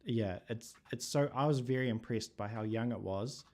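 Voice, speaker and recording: A man with a New Zealand accent hosting a casual livestream chat, relaxed and thinking aloud with plenty of fillers. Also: mid-range voice, moderate pace. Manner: relaxed, thinking aloud with many fillers, reading viewer comments. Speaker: livestream host.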